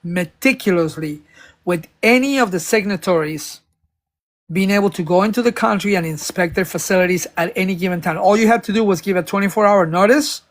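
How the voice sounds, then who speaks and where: A man's voice: high-pitched, fairly quick. Guy on a live panel ranting about policy, tons of restarts, emphatic. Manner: rambling, stumbling restarts, emphatic. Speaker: panel speaker. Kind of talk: casual political discussion.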